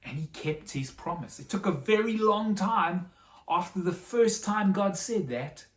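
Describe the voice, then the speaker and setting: Female children's storyteller: fairly low-pitched, expressive and dramatic, heard slightly distant in a reverberant room. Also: unhurried. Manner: dramatic, simple explanations for children. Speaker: storyteller for a children's church show.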